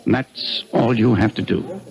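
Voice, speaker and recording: A scheming middle-aged businessman who talks calm and persuasive. His voice has a gravelly edge, and he speaks with quiet, easy confidence. Old-time radio drama. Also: medium pitch, a little slow, rough recording. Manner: calm, persuasive, self-assured. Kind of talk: 1950s radio drama acting.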